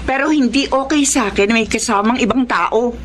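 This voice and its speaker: Snubbing big sister played by a woman, fairly high voice, cool pointed delivery, radio drama with faint noise. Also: moderate pace, natural voice. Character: cold, snubbing elder sister. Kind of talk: Filipino radio drama acting.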